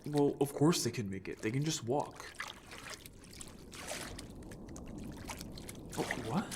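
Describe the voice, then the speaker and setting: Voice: mid-range voice, lively intonation, fairly quick, background noise, natural voice. An actor playing an upbeat, bold young merfolk scout in an audio story, chatty, casual and playfully defensive. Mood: curious, eager. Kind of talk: audio story character acting.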